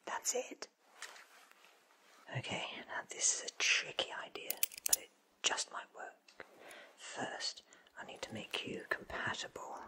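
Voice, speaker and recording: A man in his thirties playing an eccentric, wry time-travelling inventor in a whispered, deliberate ASMR roleplay, close to the mic. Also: medium pitch, bright, forceful tone, exaggerated voice. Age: 30s. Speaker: ASMR performer. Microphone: close mic in front of him.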